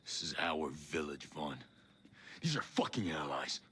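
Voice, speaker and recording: An actor playing a grave, disillusioned military man in a video game cutscene, hushed and deliberate. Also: extremely low pitch, expressive, breathy edge, full character voice. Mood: stern, dismayed.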